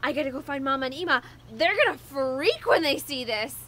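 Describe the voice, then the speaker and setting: A woman playing an eager, excitable little boy in an audio drama, exclaiming in a childlike way. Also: high voice, brisk, a little hiss or hum. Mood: amazed, excited. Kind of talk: audio drama character acting.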